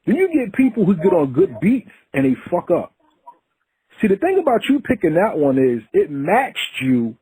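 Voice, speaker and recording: Man, podcast host, husky voice, lively pitch, mellow tone, earnest and preachy. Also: normal speed, no echo, poor audio. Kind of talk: casual interview.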